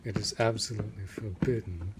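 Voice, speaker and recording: A man playing a courteous, possessive vampire master in an audio roleplay, speaking formally and deliberately with a breathy voice that often sinks toward a whisper. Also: lowish voice, steady tone, lightly acted. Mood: composed, courteous. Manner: formal, measured, deliberate speech. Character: centuries-old male vampire master, courteous, possessive, protective manor lord. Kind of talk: immersive audio roleplay addressed to the listener. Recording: loud background noise.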